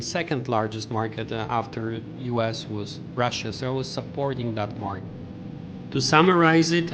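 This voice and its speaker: A middle-aged man from Europe with a European accent, speaking English as a non-native language, recalling his travels in a plain, halting way for a vlog. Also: mid-range voice, noisy background. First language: not English. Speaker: vlogger. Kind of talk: personal travel recollections to camera.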